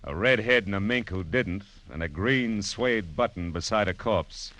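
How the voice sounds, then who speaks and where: An actor playing a sardonic, hard-boiled private eye in an old radio noir, with wry, clipped delivery. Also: male voice, on the low side, animated, normal speed, a bit bright. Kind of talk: 1940s-50s radio noir acting and narration. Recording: vintage radio broadcast recording.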